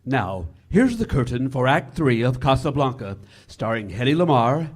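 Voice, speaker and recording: A man's voice: mid-range voice, big pitch swings, normal speed. A man playing a stately radio producer-host, narrating a drama formally in 1940s radio style. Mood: stately, dramatic. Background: music.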